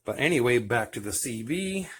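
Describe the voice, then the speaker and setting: A man's voice: mid-range voice, big pitch swings, normal speed, slight background noise. An American man giving a casual show-and-tell narration as a hobbyist video host.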